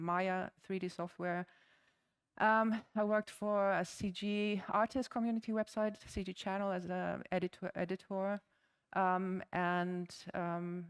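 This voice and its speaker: A woman's voice: fairly low pitch, smooth. A woman in her forties from Germany, with a German accent, giving a friendly, chatty conference talk to a live audience, with frequent ums and uhs. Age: 40s. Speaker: conference speaker. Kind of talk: conference presentation.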